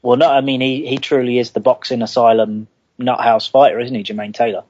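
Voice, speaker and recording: A man's voice: mid-range voice, level tone, brisk, a little mellow, dry recording, muffled recording. A man with a London accent, calling in to give long opinions on boxing. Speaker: caller. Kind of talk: casual boxing chat.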